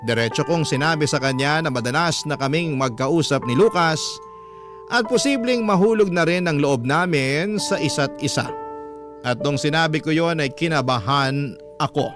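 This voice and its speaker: A man's voice: on the high side, normal speed. A man with a Filipino accent reading a first-person letter as earnest, confiding radio-drama narration. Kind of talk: dramatic scripted narration in classic radio manner. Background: music bed.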